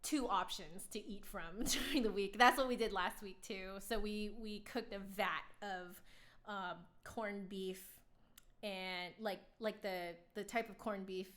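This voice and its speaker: A woman's voice: mid-range voice, fairly even intonation, a little slow, a bit bright. Chatty woman streamer, rambling. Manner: chatty, rambling with many fillers. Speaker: flutist streamer. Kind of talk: casual live-stream chat.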